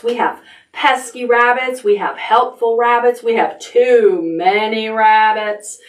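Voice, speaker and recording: A woman's voice: medium pitch, fairly bright tone, roomy sound, far from the mic. A middle-aged woman hosting a preschool storytime, speaking in simple, encouraging phrases and repeating herself for young listeners. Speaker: library storytime host for preschoolers. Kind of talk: children's storytime, instruction and read-aloud. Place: indoor room decorated with stuffed toys.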